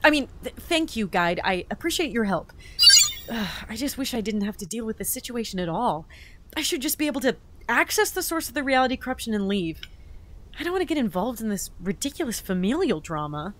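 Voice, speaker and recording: Audio drama heroine woman actor: frustrated otherworldly agent, animated, excitable, sardonic, stammering. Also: fairly high pitch, brisk. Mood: weary, annoyed. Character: exasperated young female agent from another reality.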